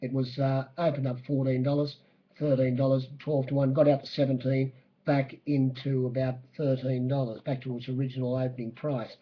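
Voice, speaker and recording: A husky, croaky Australian man recaps horse races. He speaks haltingly and matter-of-factly, like someone working from memory as a bookmaker. The audio is a little dull and lo-fi. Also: mid-range voice, flat delivery. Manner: halting, hesitant, matter-of-fact. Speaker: racing bookmaker giving a race-day report. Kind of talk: spoken horse racing recap.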